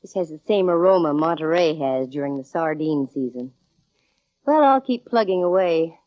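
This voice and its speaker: A breezy, hard-boiled young American female detective, with a low voice. She is wry and brisk in a 1940s radio drama manner. The sound is dry and dulled, like an old broadcast. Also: lively intonation, no echo. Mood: probing, breezy. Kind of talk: 1940s radio acting, first-person detective narration. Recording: dull, band-limited old radio recording.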